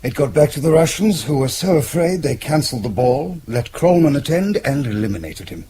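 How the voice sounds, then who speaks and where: An actor playing a suave, calculating British intelligence chief, speaking in an urbane, formal way in a radio drama. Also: male voice, on the high side, lively intonation, a crowd in the background. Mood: cool, explanatory. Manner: urbane, measured, formal explaining.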